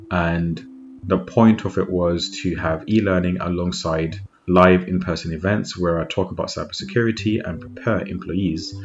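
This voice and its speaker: A man from Zimbabwe hosting a podcast, talking in a relaxed, reflective way. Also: low voice. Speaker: podcast host. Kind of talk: casual talk. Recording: dull lo-fi sound with faint noise.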